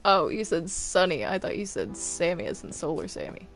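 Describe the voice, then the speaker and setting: A woman's voice: lowish voice, very animated, moderate pace, soft background music. A woman chatting casually while playing a game, hesitant and stammering, often sounding apologetic. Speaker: gamer playing a multiplayer game.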